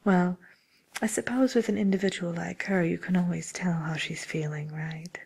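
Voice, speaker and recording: A woman playing a genteel, businesslike matchmaker for villains in a whispered ASMR role play, murmuring politely and hesitantly in a breathy voice. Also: lowish voice, steady tone, moderate pace, a little mellow. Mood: gently amused.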